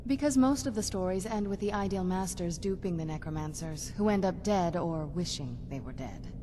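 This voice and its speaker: A woman playing a young female vampire in a video game, earnest and guarded, speaking in a measured, softly intense way. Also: lowish voice, slight background noise, close to an everyday voice. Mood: matter-of-fact, wary. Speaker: game companion character.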